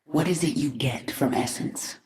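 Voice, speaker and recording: A woman's voice: low-pitched, a little slow, echoey room, a crowd in the background. An actress playing a hardened, commanding and brooding alien queen in a video game. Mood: firm, measured. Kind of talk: video game cinematic acting.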